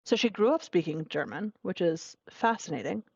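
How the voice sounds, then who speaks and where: A woman's voice: lowish voice, lively intonation, normal speed, creaky, a little mellow, slightly rough, no room sound. A young woman from Virginia, co-hosting a podcast in casual chat, talking in a chatty, enthusiastic way with many fillers. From Virginia, United States. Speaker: podcast co-host. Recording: dull lo-fi sound.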